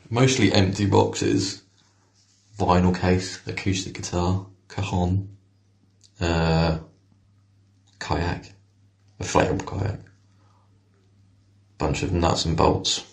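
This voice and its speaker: A man rambling in a halting, deadpan way. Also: low voice, reverberant. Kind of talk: rambling deadpan spoken monologue.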